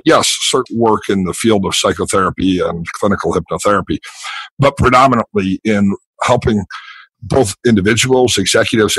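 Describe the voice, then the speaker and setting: Homem de voz grave e rouca, seca. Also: male voice, a bit bright, breathy edge, no echo, poor audio. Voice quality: deep, throaty voice. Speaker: guest, psychotherapist and business consultant. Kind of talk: podcast interview answers.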